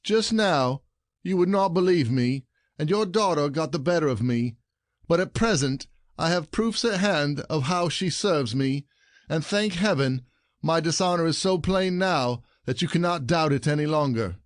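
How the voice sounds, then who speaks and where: Guy acting as wronged husband in an old comedy audio play, indignant n formal, voice a bit high, very dry sound. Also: lively intonation, moderate pace, no echo, natural voice. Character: aggrieved, scheming wronged husband in stage farce.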